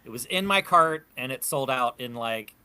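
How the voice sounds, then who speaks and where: A man's voice: medium pitch, lively intonation, normal speed, a crowd in the background. A man chatting casually as a panelist in a group discussion. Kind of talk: casual chat.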